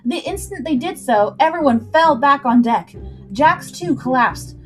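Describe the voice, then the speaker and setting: A woman's voice: sits high, expressive, unhurried, soft background music. A woman storyteller reading scripted adventure fiction aloud in a dramatic narrating style. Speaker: storyteller, livestream host.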